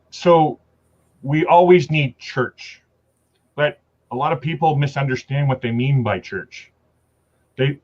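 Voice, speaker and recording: A man talking earnestly in a casual online chat, sharing his views with halting restarts. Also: a little high, dull audio.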